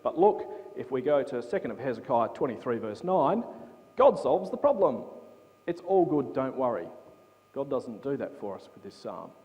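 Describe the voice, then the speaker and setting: A man's voice: medium pitch, very animated, moderate pace, dark tone. A man giving a conversational Bible talk to a congregation, often checking in with his listeners. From England. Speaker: preacher. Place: church hall. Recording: long hall reverb.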